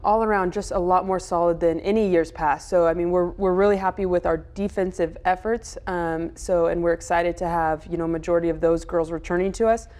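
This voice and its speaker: Female college soccer coach, American, lowish voice, recap interview delivery. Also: darkish tone.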